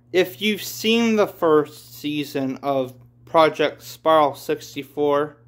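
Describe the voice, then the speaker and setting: A man hosting a casual read-along of his own story, talking in a blunt, offhand, rambling way. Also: a little high. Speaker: host reading his own manga aloud.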